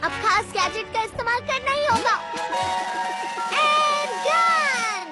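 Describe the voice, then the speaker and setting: A woman's voice: very high-pitched, animated, takes it slowly, heavily acted. A woman voicing a fretful, bossy but caring robot cat in a cartoon dub, exclaiming and cautioning. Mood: alarmed. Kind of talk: Hindi cartoon dub acting. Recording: loud music under the voice.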